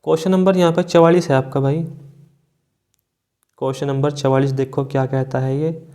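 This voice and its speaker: A man's voice: mid-range voice, steady tone, normal speed, mellow. A man teaching an online exam class, explaining question by question, repeating points and often adding short check-in tags. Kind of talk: online class working through multiple-choice questions.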